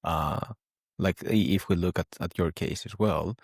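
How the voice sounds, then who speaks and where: A man's voice: sits low, measured pace, creaky, no room sound, clean studio sound. A man from Greece hosting a podcast interview, thoughtful and often restarting his sentences. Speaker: podcast host. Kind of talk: casual interview chat.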